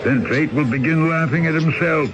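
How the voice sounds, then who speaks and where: An actor playing a weary, philosophical ferryman who lectures an exile calmly in a radio drama. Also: on the high side, normal speed, highs cut off, lightly acted. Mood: stern, lecturing. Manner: calm, sermonizing, gently mocking. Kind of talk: 1970s radio drama acting.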